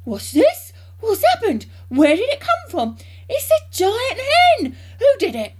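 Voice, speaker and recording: A woman voicing a small, excitable father who shouts and exclaims wildly in a storybook reading. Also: extremely high pitch, unhurried, voice pushed up, heavily acted. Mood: alarmed. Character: excitable, easily worked-up small man, a father.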